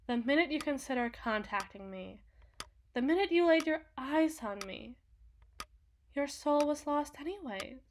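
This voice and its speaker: Woman playing a haughty demoness, fairly high-voiced, faint hiss. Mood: possessive, imperious. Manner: theatrical, formal, imperious, deliberate phrasing. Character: powerful possessive demon woman, haughty, coldly seductive deal-maker. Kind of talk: ASMR roleplay, dramatic monologue.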